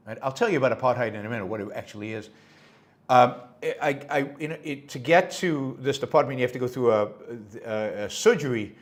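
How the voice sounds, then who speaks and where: A middle-aged man hosting his own show, giving unscripted solo commentary in an emphatic way, repeating words for stress with many fillers and restarts. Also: medium pitch. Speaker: podcast host.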